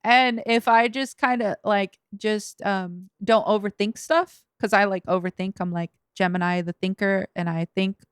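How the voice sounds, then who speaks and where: A woman's voice: medium pitch, steady tone, moderate pace, hardly any pauses, clean tone, no room sound, studio quality. A woman from Los Angeles, a rapper guest on a podcast, chatting casually with lots of fillers, restarts and laughs. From Los Angeles, USA. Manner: rambling, filler-heavy, laughs often.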